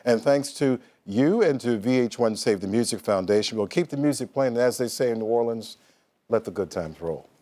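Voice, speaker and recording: A male television host delivers scripted broadcast copy. His voice has a warm, relaxed tone and sits at an average level of brightness or a little below. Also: medium pitch, brisk.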